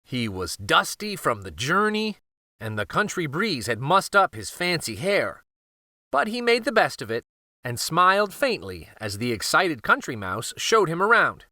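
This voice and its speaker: Animated, bright-voiced male children's storyteller. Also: fairly high pitch, unhurried, no room sound, clean studio sound. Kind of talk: scripted storybook read-aloud narration.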